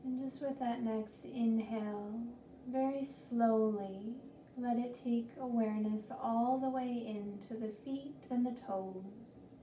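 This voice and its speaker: A woman with a fairly high, even-toned voice leads a guided meditation. She is gentle and soothing, leaving long pauses between slow phrases. The recording is roomy and distant, with faint noise. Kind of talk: guided body scan meditation.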